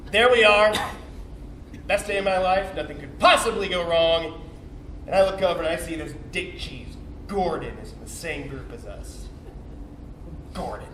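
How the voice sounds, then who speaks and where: Actor as high-voiced, foul-mouthed young bro sperm cell. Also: male voice, fairly bright tone. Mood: resentful. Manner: foul-mouthed, slangy, defensive, dramatic. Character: cocky, bitter young male sperm cell, swearing bro. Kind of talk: theatrical comic monologue, confessional storytelling to audience. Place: indoor theatre stage, recorded from a distance. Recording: roomy, distant stage recording with faint noise.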